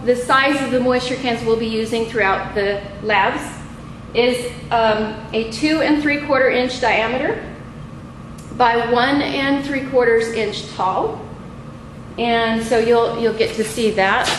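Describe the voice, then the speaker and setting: A middle-aged woman instructor giving an unscripted, methodical lab demonstration in a halting way, picked up by a camera a few feet away in a small room. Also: on the high side, steady tone. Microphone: camera mic a few feet away. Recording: echoey room with clear background noise.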